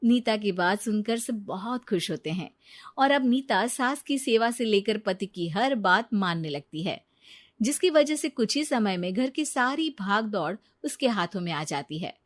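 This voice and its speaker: A woman's voice: mid-range voice, expressive, unhurried. A woman narrating a scripted moral story.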